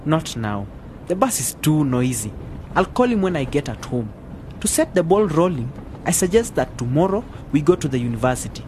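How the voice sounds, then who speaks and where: A man's voice: fairly high pitch, very animated, normal speed, darkish tone, a little hiss or hum. An actor playing an earnest, level-headed young man in a radio drama. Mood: eager. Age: young adult.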